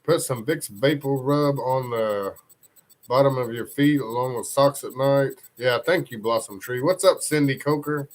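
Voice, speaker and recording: Male streamer, congested, loose informal delivery, average pitch. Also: moderate pace. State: congested from a cold. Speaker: live stream news host. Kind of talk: casual live stream chat, reading comments.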